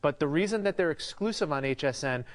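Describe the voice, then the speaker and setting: A man co-hosting live shopping television, delivering a sales pitch. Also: expressive, fast talker. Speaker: shopping channel co-host.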